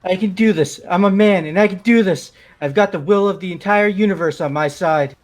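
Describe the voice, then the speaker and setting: A man playing a high-voiced, excitable goofy young guy. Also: a little fast, faint music behind, close to an everyday voice. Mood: nervous bravado. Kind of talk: amateur voice acting of a video game.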